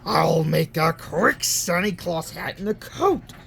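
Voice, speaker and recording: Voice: medium pitch, lively intonation, takes it slowly, a bit bright, full character voice. A narrator voicing a scheming, bad-tempered old storybook monster who snarls and growls his lines. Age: older. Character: sneering, scheming, noise-hating old Christmas-stealing monster. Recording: clearly noisy background.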